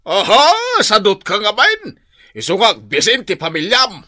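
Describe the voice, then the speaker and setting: A man's voice: sits high, wildly swooping pitch, a little slow, sharp tone, exaggerated voice. An actor playing a stern, scolding elderly father-in-law in a radio drama, with an Ilocano accent. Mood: angry lecturing. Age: older. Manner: scolding, exasperated.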